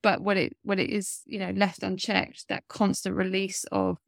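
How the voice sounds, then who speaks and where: A woman's voice: medium pitch, little pitch movement, moderate pace, vocal fry, no echo, clean studio sound. A woman in her forties, a coach speaking as an interview guest, talking earnestly with a blocked-up nose and many fillers. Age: 40s. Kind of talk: casual interview chat.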